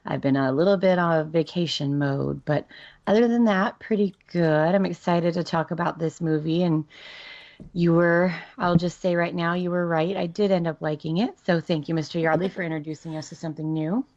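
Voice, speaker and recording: Low-voiced woman losing her voice. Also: fairly even intonation, moderate pace, darkish tone, no echo, highs cut off. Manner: easygoing, giggly. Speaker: podcast co-host. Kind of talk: casual chat.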